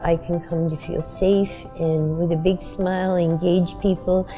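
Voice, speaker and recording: A middle-aged woman speaking reflectively in a personal interview, earnest and halting, pausing mid-phrase. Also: fairly low pitch, level tone, dark tone. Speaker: street paper vendor. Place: indoor room. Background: music.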